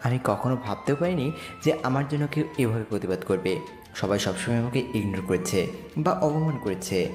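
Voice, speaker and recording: A man's voice: mid-range voice, animated, normal speed, darkish tone, lightly acted. A man playing a poor, humble young slum boy who earnestly narrates his own romantic audio story. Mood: narrating, earnest. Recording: echoey reverb with music bed. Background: music.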